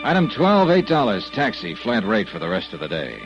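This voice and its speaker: An actor playing a hard-boiled American investigator, giving dry, punchy, sardonic first-person narration in 1950s radio style. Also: male voice, lowish voice, wildly swooping pitch, a little fast, loud music behind the voice. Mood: dry, narrating. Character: hard-boiled male investigator narrating his expense-account case report. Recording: muffled old radio broadcast.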